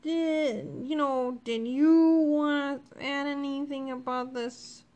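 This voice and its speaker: Woman podcast co-host, high voice, talkative, quick to protest. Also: steady tone, darkish tone. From Ohio, USA. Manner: chatty, defensive, laughs often. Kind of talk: casual couple chat.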